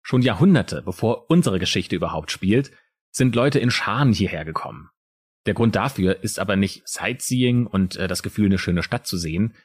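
Deep husky man with a Palatinate lilt. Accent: Palatinate German. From Palatinate, Germany. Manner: dramatic storytelling with pauses. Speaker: podcast co-host. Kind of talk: true crime podcast narration.

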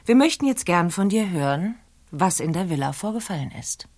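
An actress playing a sharp, skeptical police detective questioning a suspect in a radio play. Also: female voice, on the low side, animated, moderate pace, no echo. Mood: stern.

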